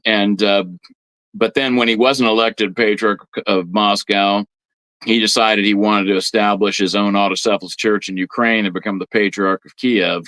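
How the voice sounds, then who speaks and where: A man speaking as an interview guest, informally explaining history and politics in a halting way, repeating words as he goes. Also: lowish voice, fairly even intonation, fairly bright tone, dry recording, low-quality recording. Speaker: guest priest on a religious podcast.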